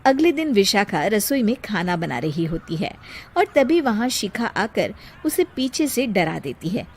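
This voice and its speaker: A woman narrating a cartoon moral story in a calm, plain storybook manner. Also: mid-range voice, a little slow, a little hiss or hum.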